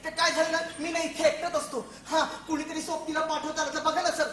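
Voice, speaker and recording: A woman playing a lonely male soldier in a comic stage play, excitable and rambling, stammering and repeating words. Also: high-pitched, brisk, a little hiss or hum. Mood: pleading, nervous. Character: jittery, lonely, talkative male soldier at a forgotten post. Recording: echoey stage recording with music.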